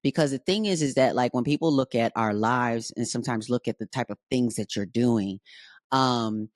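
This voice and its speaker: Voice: mid-range voice, normal speed, dry recording. Sassy, playful interviewer, dry sound. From Racine, Wisconsin. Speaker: podcast host, interviewer. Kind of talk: casual interview talk.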